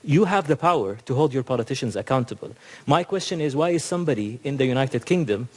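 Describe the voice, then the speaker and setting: A man speaking at a rapid clip, pressing his points hard in a conference hall. His pitch sits at an ordinary middle height, and he fills gaps with "you know" and "uh". The delivery is argumentative and emphatic. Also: animated, darkish tone. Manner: emphatic, argumentative, many fillers. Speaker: panel speaker. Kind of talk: impassioned panel remarks.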